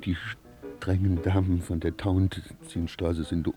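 An actor playing a tender, submissive lover in an intimate radio drama, murmuring ardently. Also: male voice, deep voice, flat delivery, takes it slowly, dark tone, soft background music. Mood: ardent devotion.

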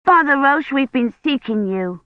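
Woman acting a high-voiced, eager little girl. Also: animated, normal speed, dry recording, narrow, tinny audio. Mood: excited, eager. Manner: artless, insistent, old-fashioned phrasing. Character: small eager fearless girl, chatty and trusting. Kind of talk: audiobook character acting.

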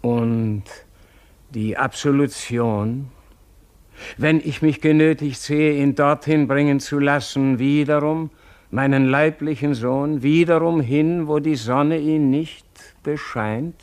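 A man's voice: fairly high pitch, fairly even intonation, takes it slowly, darkish tone, smooth. An actor playing a stern, pious, authoritarian king and father in a classical radio drama, with a solemn, declamatory and commanding manner. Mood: brooding.

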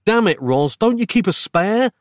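A man's voice: high voice, very animated, a little fast, no echo, clean studio sound, pitched above the performer's own voice. A man acting an anguished, exasperated male hero in a comic horror tale, wordy and melodramatic. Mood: despairing. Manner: melodramatic, over-explaining, urgent.

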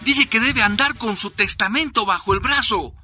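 An actress playing a grave, alarmed comrade phoning with a warning in a radio drama. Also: female voice, fairly high pitch, normal speed, bright, forceful tone, soft background music.

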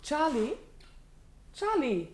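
An actress playing a composed, sympathetic detective's wife and partner in a 1950s German radio play, attentive and polite. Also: female voice, sits high, sing-song, very slow, full character voice. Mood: brisk. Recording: noisy 1950s radio drama recording.

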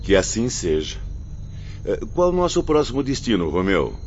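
A man playing a naive, questioning newly arrived spirit in a radio drama, speaking in an animated way. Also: fairly low pitch, moderate pace, over music. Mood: curious, taken aback. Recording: muffled, lightly noisy radio play audio.

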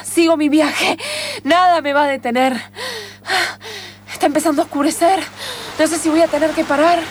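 An excitable young Argentine city woman as acted by a woman, naive and melodramatic, cycling into the wild. Her voice is very high and full of exclamations, in improvised radio drama with some background noise. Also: moderate pace, close to an everyday voice. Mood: alarmed, amazed. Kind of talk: improvised radio theatre acting.

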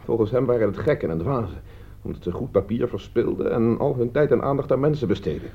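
An actor playing a defensive thirty-year-old idler under questioning, speaking evasively in a radio play. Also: male voice, medium pitch, animated, quick pace, husky. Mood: explaining, wry. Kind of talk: Dutch radio drama dialogue. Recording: dull, band-limited old radio recording.

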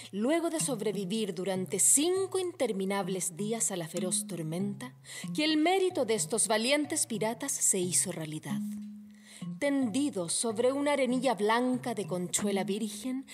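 A woman narrating a legend for a radio play in a theatrical, storytelling manner. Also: on the high side. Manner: expressive, theatrical.

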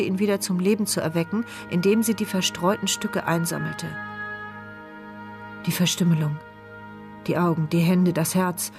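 A woman's voice: fairly low pitch, lightly acted. An actress as a brooding woman, music behind. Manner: intimate, brooding, questioning. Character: anxious, sharp-minded injured woman thinking to herself. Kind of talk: German radio play, inner monologue.

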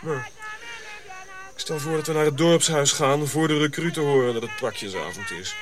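A man playing a cynical, gruff colonial officer in a radio drama, blunt and sardonic. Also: fairly high pitch, big pitch swings, normal speed, slight background noise. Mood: sardonic, irritated.

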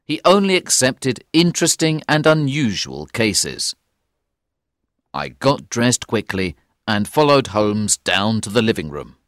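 An actor playing a loyal gentleman who narrates a detective story in a measured, deliberate way. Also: fairly low pitch, very animated, dry recording, studio quality. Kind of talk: slow, clear scripted story narration.

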